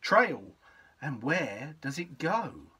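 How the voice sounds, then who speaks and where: A man voicing a curious, bold young girl monster from a storybook, with dramatic drawn-out exclamations. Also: a little high, very animated, bright, forceful tone, slightly rough, full character voice. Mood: excited, curious.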